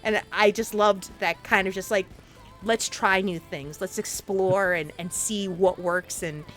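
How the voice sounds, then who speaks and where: An encouraging woman interviewer, from Puerto Rico with Spanish as her first language, talking warmly with lots of "you know" fillers. Her pitch sits mid-range, and faint music plays under the talk. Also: fairly even intonation, unhurried. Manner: upbeat, encouraging, laughs often, many fillers. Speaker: interviewer, show host. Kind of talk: live-streamed interview in an online game.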